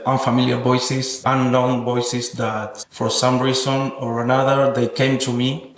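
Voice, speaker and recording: Man speaking evenly in an echoey room. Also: medium pitch. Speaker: interviewed sound artist. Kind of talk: reflective interview about own work.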